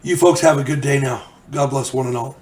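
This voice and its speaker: A man's voice: medium pitch, fairly quick, background noise. An older man, a channel host, telling a story in a folksy, rambling way while getting over a cold. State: recovering from a cold. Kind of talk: casual storytelling monologue.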